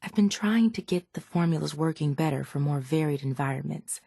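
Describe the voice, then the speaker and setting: An actress playing a sardonic, calculating raider chemist in a video game, speaking in a dry, measured, faintly condescending way. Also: female voice, low voice, vocal fry, warm tone, slightly rough, dry recording, heavily acted. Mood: businesslike. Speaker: video game character voice actor. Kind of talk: scripted video game dialogue.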